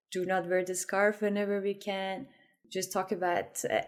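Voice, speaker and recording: A woman's voice: medium pitch, a little mellow. Here is a woman in her late twenties from Iran, speaking as an interview guest. She answers reflectively in a mid-range voice and often restarts her sentences. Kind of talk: conversational interview.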